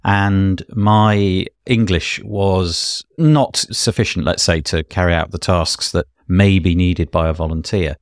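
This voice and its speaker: A man with a deep, low voice asks long, winding questions in a courteous, slightly apologetic way. The recording is dry and close, with no room echo. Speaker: interviewer. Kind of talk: podcast interview.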